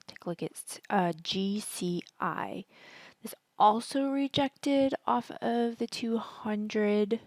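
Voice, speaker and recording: A woman with a husky, partly hoarse voice, chatting casually and thinking aloud as she hosts a stock market screen-share commentary. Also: mid-range voice, takes it slowly, darkish tone, no echo. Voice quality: husky, worn voice. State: partly hoarse from singing at a concert. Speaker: stock trading educator, video host.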